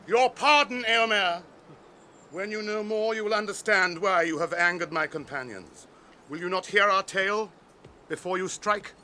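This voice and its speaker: An actor playing a noble, stern wandering ranger and heir to kings, formal and commanding, in a radio fantasy drama. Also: male voice, high voice, normal speed, sharp tone, a little hiss or hum.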